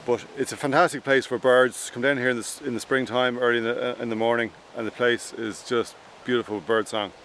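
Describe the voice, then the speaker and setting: An Irish man in his forties talks outdoors about his land in a relaxed, unhurried way, often pausing on "uh". His voice sits at an ordinary middle pitch. A clear guitar plays underneath. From Ireland. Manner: relaxed, plain-spoken, with frequent "uh" pauses. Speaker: farmer. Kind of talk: documentary talk to camera. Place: outdoors on a farm.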